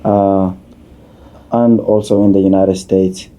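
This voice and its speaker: A man, a community leader answering questions as a podcast guest in an unhurried, halting way. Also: low-pitched, little pitch movement, mellow. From Somalia, raised in Kenya. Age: 30s. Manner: unhurried, halting, with many uh fillers and restarts.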